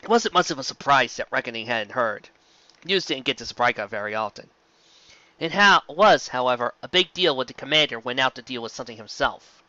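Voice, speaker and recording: Man, amateur audiobook narration, forceful bright tone, stumbling delivery, dry muffled lo-fi sound. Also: medium pitch, animated, brisk, no room sound. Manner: stumbles and rereads words. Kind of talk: scripted story narration.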